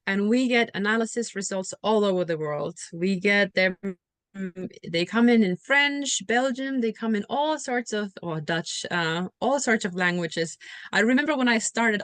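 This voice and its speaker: A woman's voice: mid-range voice, a bit bright, no echo. A woman from Finland, presenting in a webinar in a friendly, reassuring way with frequent fillers. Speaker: software company presenter.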